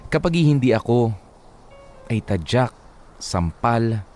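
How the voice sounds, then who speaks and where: A man's voice: medium pitch, sing-song, slow, darkish tone, soft background music. A man with a Filipino accent narrating a first-person story as a radio drama host reading a letter.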